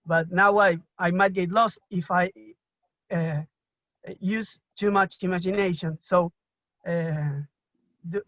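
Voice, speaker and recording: A Spanish-speaking man from Argentina asks a question over a video call in slow, halting English with an Argentine accent. He stops often, repeats words and fills gaps with "uh." The sound is dry and close. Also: sits high, a bit bright, dry recording, rough recording. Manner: halting, many fillers and restarts. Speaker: audience member asking a question. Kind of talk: hesitant question in a live online Q&A.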